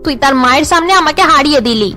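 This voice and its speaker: A woman voicing an angry, nagging mother in a melodramatic cartoon, shaming her son with sharp reproach. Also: sits high, very fast, soft background music. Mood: scolding. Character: angry, scolding, status-obsessed mother of a failing son. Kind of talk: melodramatic Bengali cartoon dubbing.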